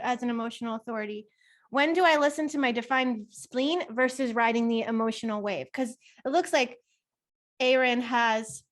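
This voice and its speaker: A woman co-hosting a live webinar in a casual, chatty, enthusiastic way with frequent giggles. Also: a little high. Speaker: webinar co-host. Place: online video call.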